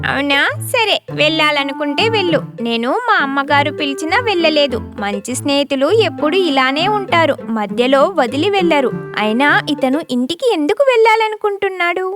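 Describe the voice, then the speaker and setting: A woman's voice: high-pitched, expressive, fairly quick, music in the background. A woman voicing a playful, stubborn young boy calf in a children's cartoon. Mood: sulky, reproachful.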